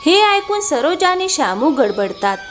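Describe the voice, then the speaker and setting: High, expressive female story narrator. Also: a little slow, a little hiss or hum.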